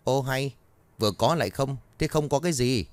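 A man's voice: fairly low pitch, animated, fast talker, dry recording, natural voice. A man voicing an impatient, swearing older night guard. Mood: exasperated. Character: gruff cursing older male night guard.

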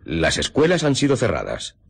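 An actor playing a dutiful, slightly hesitant police chief in a Spanish radio drama, deferential and businesslike, with a Castilian accent. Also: male voice, on the low side, wildly swooping pitch, normal speed, vocal fry, breathy edge, exaggerated voice. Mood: businesslike, reporting.

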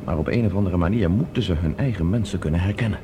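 A man's voice: low-pitched, quick pace, mellow, slight background noise. A man playing a decisive professor in a science fiction radio drama, speaking with urgent, analytical authority. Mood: calculating, urgent. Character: decisive male professor plotting as captive space traveller.

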